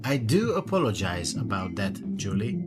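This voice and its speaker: A man playing a polite, evasive hotel manager in a radio play, speaking in a hesitant, courteous, measured way. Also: on the low side, very animated. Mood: smooth, apologetic. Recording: loud music behind the voice.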